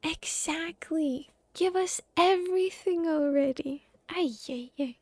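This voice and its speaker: High-voiced woman streamer, slow and playful. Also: dry recording. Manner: playful, sweary, exclamatory. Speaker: game streamer. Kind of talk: casual live gameplay reaction chat.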